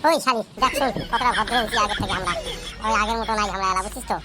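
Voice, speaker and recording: A woman's voice: medium pitch, rapid-fire, natural voice. A woman voicing a cheeky, boastful village school pupil in a comic cartoon, brash and talkative, with a North Bengal accent. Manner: brash, rattling recitation and backtalk. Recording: loud noise behind the voice.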